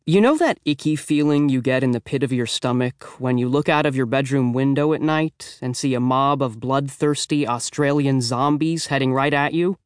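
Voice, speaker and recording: A man's voice: medium pitch, moderate pace, few pauses, clear, resonant tone, no echo, clean studio sound, natural voice. A man voicing a wry, self-mocking middle school boy who tells his misadventures in a children's audiobook, chatty and dramatic. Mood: wry dread. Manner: chatty, dramatic, tongue-in-cheek. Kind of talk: children's audiobook first-person narration.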